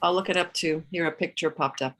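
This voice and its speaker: A woman's voice: lowish voice, steady tone, fast, a bit bright, low-quality recording. A woman who grew up speaking Hebrew, asking a question casually on a video call, tentative and searching for words. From Israeli background. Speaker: class participant asking a question. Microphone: video call, camera off.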